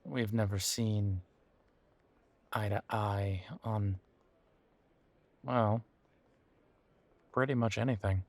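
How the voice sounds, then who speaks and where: A man acts out a weary werewolf boyfriend in an intimate audio drama. His voice sits fairly low and moves very slowly. He speaks in a casual, wry way, hesitating and trailing off between thoughts. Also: fairly even intonation. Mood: weary, bitter. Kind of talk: intimate roleplay audio drama, conversational monologue.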